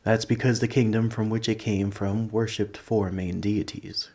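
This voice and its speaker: A man voicing an ancient, gentle, nerdy dragon in an ASMR roleplay, rambling in a politely formal, scholarly way. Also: fairly low pitch, flat delivery, moderate pace. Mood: fond, musing. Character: ancient, gentle, nerdy male dragon who collects coins. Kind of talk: scripted ASMR roleplay, speaking to the listener.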